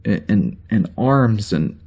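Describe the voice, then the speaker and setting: An actor playing a troubled starship officer with amnesia, halting and rambling as he records a log in a naturalistic audio drama. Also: on the low side, expressive, dark tone, slightly rough, exaggerated voice. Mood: agitated, bewildered. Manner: halting, rambling, self-interrupting.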